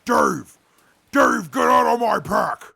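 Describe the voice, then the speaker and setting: An actor playing a stern, gruff, hulking wasteland companion with a raspy growl and a slow, formal, commanding way of speaking in a radio drama. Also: male voice, very high-pitched, animated, shouting, background noise, full character voice. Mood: angry, shouting. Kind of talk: post-apocalyptic radio drama.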